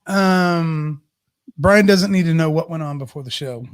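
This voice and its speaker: A man's voice: on the high side, unhurried, smooth. A man in his fifties co-hosting a live podcast, reading viewer comments and trading dry, teasing banter with his co-host. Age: 50s.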